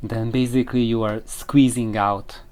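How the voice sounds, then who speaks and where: Mellow-voiced presenter explaining audio technology. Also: mid-range voice, steady tone, normal speed. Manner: emphatic, fond of intensifiers and analogies. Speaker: presenter explaining loudspeaker design.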